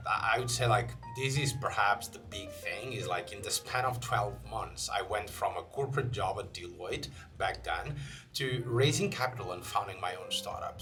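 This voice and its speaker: A man's voice: fairly low pitch, fairly bright tone. A young man from Portugal with a Portuguese accent, talking casually to camera in English with many fillers and restarts. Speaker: channel host. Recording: faint guitar music behind. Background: faint guitar music.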